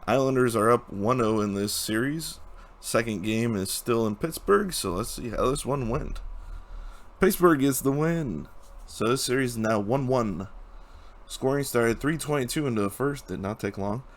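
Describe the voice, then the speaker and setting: A young man who hosts a hockey channel, casually recapping playoff games and reading out stats, his voice hoarse from a cold. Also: mid-range voice, moderate pace. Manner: casual, reads stats, chuckles at times.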